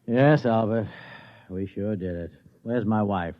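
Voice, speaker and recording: An actor playing a weary, resigned boss in 1950s radio drama, giving terse, wry replies. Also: male voice, lowish voice, unhurried, lightly acted. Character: weary, resigned male boss humouring his robot. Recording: dull vintage radio recording.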